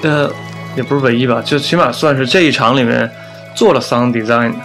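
A man's voice: medium pitch, fairly quick, fairly bright tone, faint music behind. A man chatting casually as a co-host on a podcast. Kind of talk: casual chat.

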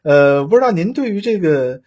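Male host, slightly high husky voice, casual interviewing, halting and rambling delivery. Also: very animated, a little mellow, muffled recording. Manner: hesitant, rambling questioning. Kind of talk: casual live discussion, interviewing guests. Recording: live audio broadcast.

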